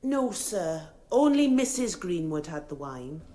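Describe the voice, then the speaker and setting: An actress playing a nervous, simple domestic servant, answering hesitantly and deferentially in a radio courtroom drama. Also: female voice, on the low side, big pitch swings, measured pace, mellow. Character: nervous, simple young female domestic servant under questioning.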